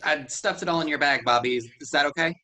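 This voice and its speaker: A man's voice: a little high, fast, sharp tone. A man playing an earnest, obedient farm boy, hesitant and eager, in a staged play reading. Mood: eager, unsure.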